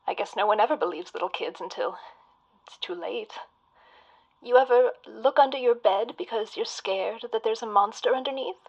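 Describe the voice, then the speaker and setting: Creepy caller: hushed, whispery, fairly high voice, muffled sound. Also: female voice. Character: haunted woman caller, eerie and quietly unsettling. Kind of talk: audio drama acting.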